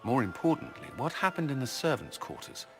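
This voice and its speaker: A stern commander in a fantasy game. He is blunt and practical, with a forceful, bright edge and faint music behind. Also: medium pitch, sing-song, brisk, creaky. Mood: firm, wary. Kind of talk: video game dialogue acting.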